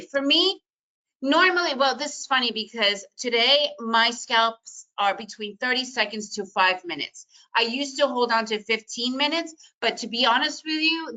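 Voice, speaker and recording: A middle-aged woman from the former Soviet Union, a native Russian speaker, giving an energetic, emphatic webinar talk on trading. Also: a little high, rough recording. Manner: energetic, emphatic, repetitive phrasing. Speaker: trading educator. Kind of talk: webinar presentation.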